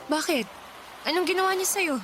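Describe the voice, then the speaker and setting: A woman's voice: very high-pitched, a little fast, fairly bright tone, a little hiss or hum. A woman playing a fierce, indignant and protective elder sister in a Tagalog audio drama. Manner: indignant, emphatic.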